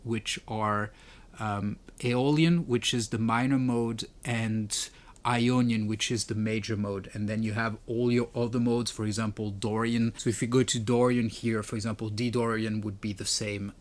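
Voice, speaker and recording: A man's voice: medium pitch, fairly even intonation, moderate pace. A man presenting a music gear tutorial in a casual, explanatory way. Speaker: presenter demonstrating a music pedal. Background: keyboard and synth demo notes.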